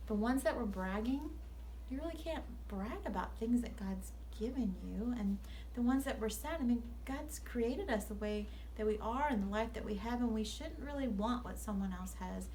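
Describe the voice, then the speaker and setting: A woman's voice: a little high, normal speed, a little mellow, low-quality recording. Woman teacher at story time, faint noise. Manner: earnest, instructive. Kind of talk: children's story time, reading and explaining.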